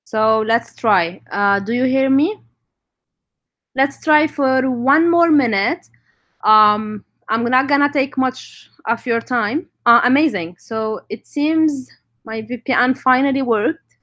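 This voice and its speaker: A woman's voice: high voice, slow, a bit bright. A woman from Lebanon with a Lebanese accent, presenting an informal online session as the speaker. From North Lebanon. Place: live online stream.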